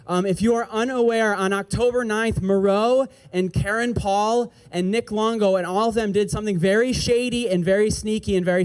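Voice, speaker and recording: A man giving an impassioned speech at an outdoor rally as an activist organizer, angry and emphatic, repeating slogans. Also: high-pitched.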